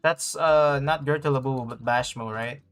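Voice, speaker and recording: Male game streamer, medium pitch, casual livestream chat, faint background music. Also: moderate pace, a bit bright.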